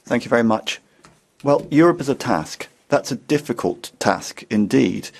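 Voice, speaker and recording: Gravelly man interpreting, firm. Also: mid-range voice, lively intonation, moderate pace. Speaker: simultaneous interpreter. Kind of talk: parliamentary interpreting.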